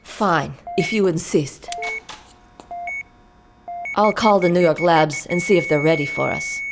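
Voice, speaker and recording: A woman's voice: on the low side, unhurried, noisy background. An actress playing a cold, clinical woman doctor who researches viruses, in a video game cutscene. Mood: cool, reluctant.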